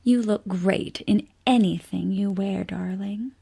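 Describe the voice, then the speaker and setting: A woman's voice: medium pitch, slow pace, vocal fry, mellow, husky, heavily acted. An actress playing a teasing, self-assured woman scientist in an audio drama, breezy and sardonic. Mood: flirtatious. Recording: music under the voice.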